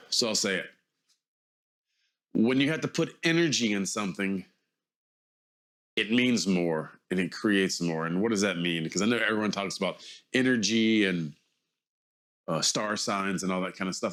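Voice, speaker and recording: Low-voiced, congested middle-aged man rambling casually. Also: animated, moderate pace, long pauses, fairly bright tone. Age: 40s. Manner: hesitant, self-correcting, circling around his point. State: mildly congested. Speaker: vlogger. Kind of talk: casual rambling monologue to camera.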